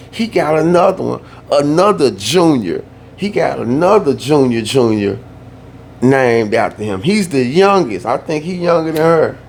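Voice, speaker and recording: A young man from the Southern US telling a family story in a laid-back, slangy way that turns animated when he gets worked up. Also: on the high side, a little hiss or hum. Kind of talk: casual storytime.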